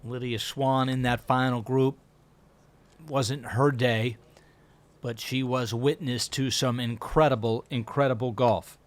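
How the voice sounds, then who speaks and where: A man doing play-by-play commentary for a televised golf broadcast, measured and steady. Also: mid-range voice. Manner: measured, builds up excitement when announcing a winner.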